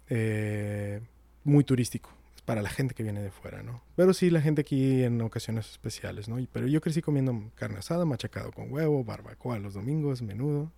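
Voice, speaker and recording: A man with a light northern Mexican accent, speaking as a podcast guest. He is thoughtful and measured, often hedging his points. His voice is dark and mellow, recorded close and dry. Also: medium pitch, creaky, dry recording. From Mexico, Monterrey area. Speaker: guest, nonprofit outreach manager. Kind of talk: casual interview chat.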